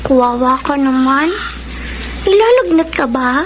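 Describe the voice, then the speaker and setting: A very high, childlike little girl in a radio drama, earnest and caring toward her family. The track has clear background noise. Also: lively intonation, a little slow. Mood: concerned. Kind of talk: Tagalog radio drama acting.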